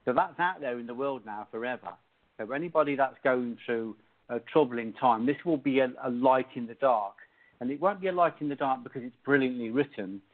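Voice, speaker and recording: A man's voice: mid-range voice, fairly quick. A middle-aged man, an author being interviewed, speaking earnestly and emphatically. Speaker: author, guest. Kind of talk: interview conversation. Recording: tinny phone line.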